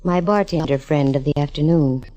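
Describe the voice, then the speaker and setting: A woman's voice: low-pitched, moderate pace, warm tone, close to an everyday voice, rough recording. A woman playing a tough, cool-headed sleuth, wry and clipped, in an old-time radio detective drama. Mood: wary, cool. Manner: wry, hard-boiled, clipped. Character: tough, cool-headed female sleuth narrating her case.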